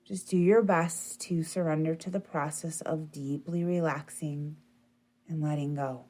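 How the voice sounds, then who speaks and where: Low, slow, mellow woman guiding meditation. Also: fairly even intonation. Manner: soothing, gentle, unhurried. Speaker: yoga nidra meditation guide. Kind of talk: guided relaxation meditation.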